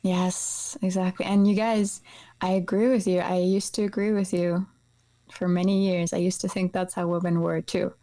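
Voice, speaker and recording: A woman's voice: fairly low pitch, flat delivery, moderate pace, warm tone. A woman from Iceland speaking as an interview guest, explaining things in a casual, conversational way. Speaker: guest, nutrition practitioner.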